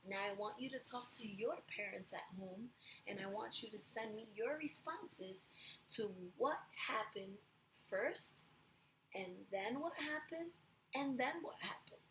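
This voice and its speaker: A woman teacher of young children reading a storybook aloud in an expressive, deliberate way. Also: on the high side, a little hiss or hum, poor audio. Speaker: pre-kindergarten teacher.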